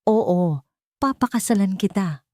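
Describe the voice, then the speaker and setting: A woman's voice: mid-range voice, expressive, a little slow, a little mellow, dry recording, clean studio sound, voice pushed up, lightly acted. A woman with a Filipino accent playing an emotional, long-hurt young mother in a romance story. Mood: tearful acceptance.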